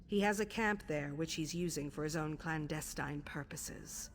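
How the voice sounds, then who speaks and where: An actress playing a stern, composed female guild leader of assassins in a video game, measured, formal and authoritative. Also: low-pitched, a little hiss or hum. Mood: commanding. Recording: faint background music under the voice.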